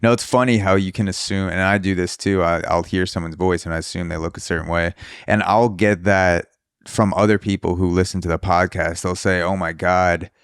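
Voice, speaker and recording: A young man hosts a podcast in a casual, rambling way, often circling back to rephrase what he is asking. His voice sits very low and sounds smooth and resonant. The sound is close and dry. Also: fairly even intonation, fairly quick, dry recording. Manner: rambling, restarts questions often. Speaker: podcast host. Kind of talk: casual interview.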